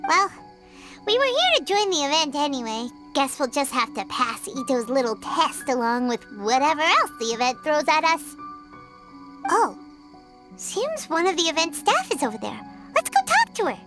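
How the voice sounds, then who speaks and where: A woman voicing a tiny, excitable childlike fairy companion in a video game, squeaky and easily indignant, talking about herself in the third person. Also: normal speed. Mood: chatty. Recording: playful background music.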